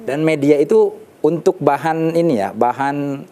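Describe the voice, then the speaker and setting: Dark-toned man explaining patiently. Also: fairly high pitch. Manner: measured, explanatory, with frequent restarts and lists. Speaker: storyteller guest. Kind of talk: studio talk show explanation. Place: television studio.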